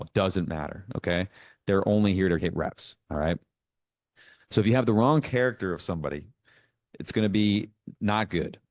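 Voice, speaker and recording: A man's voice: low voice, darkish tone, dry recording, studio quality. A man hosting a business coaching show, talking informally and instructively in a blunt, emphatic, casually profane manner.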